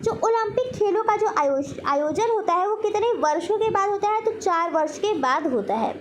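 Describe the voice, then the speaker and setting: A woman's voice: very high voice, lively intonation, moderate pace, a little hiss or hum. A woman teacher giving a general knowledge lesson, posing questions and then answering them herself in an instructional way. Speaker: quiz teacher.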